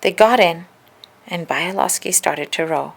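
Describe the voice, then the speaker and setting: Woman, lowish creaky voice, expressive children's read-aloud narration, deliberate phrasing. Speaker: storybook reader.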